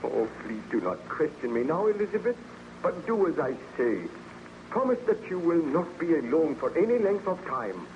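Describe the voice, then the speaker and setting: A man's voice: fairly high pitch, big pitch swings. Haunted nobleman in crackly old radio drama. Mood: anxious, urgent. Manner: hesitant, halting, melodramatic. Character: haunted, fearful young nobleman, anxious and evasive. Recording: noisy, muffled old-time radio recording.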